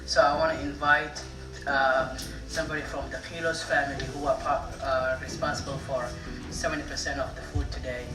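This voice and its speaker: A man's voice: medium pitch, little pitch movement, distant mic. Easygoing male organizer, echoey room. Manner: easygoing, many filler pauses. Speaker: event organizer. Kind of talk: hosting remarks to an audience. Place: large room at a community lunch. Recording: echoey room, voice from afar, loud music.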